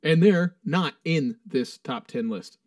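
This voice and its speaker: A man's voice: fairly high pitch, lively intonation, slow, a little mellow, no room sound, clean studio sound, far from the mic. A man co-hosting a podcast, chatting loosely and swearing as he reads through a ranked song list. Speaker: podcast co-host. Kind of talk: casual chat.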